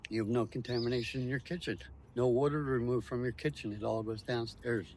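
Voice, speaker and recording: A man's voice: mid-range voice, steady tone, a little fast, a little mellow. Guy doing tour guide talk, explains it step by step, short punchy statements. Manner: emphatic step-by-step explaining in short declarative phrases.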